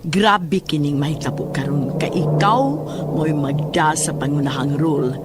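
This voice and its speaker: A woman's voice: low voice, a little slow, heavy background noise. A woman playing a sinister sorceress in a horror audio drama, speaking in a menacing, incantatory way over music. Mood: quietly menacing. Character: sinister sorceress chanting spells in a horror tale.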